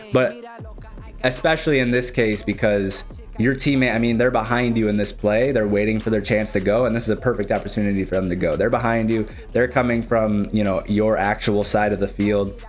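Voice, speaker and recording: A young man with a Chicago accent, casually explaining game replays as a streamer and coach, speaking directly to the player. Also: lowish voice. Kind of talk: live-streamed replay analysis commentary.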